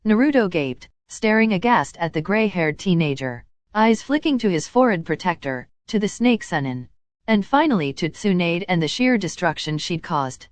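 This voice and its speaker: A woman's voice: lowish voice, expressive, moderate pace, no room sound. A woman narrating a piece of fan fiction as a scripted audiobook-style reading.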